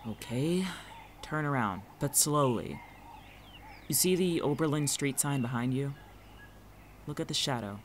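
A man's voice: mid-range voice, animated, a little slow, long pauses, slight background noise, natural voice. Man acting a dry, exasperated, guarded friend, outdoor-like ambience. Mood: guarded, cautious. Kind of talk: naturalistic audio drama dialogue.

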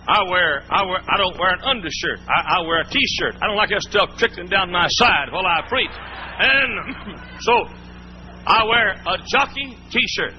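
A man preaching a sermon in a church, folksy and emphatic, repeating his points. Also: high-pitched. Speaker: pastor. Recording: muffled old sermon tape, faint hiss.

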